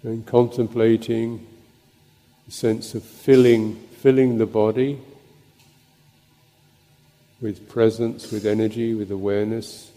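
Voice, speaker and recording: In a long, echoing hall, a man with a lowish voice guides a meditation. He speaks very slowly as a monk teaching, placing short phrases between pauses. His manner is contemplative and halting. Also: flat delivery, dark tone. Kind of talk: guided meditation and Dhamma talk. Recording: long reverberant hall echo.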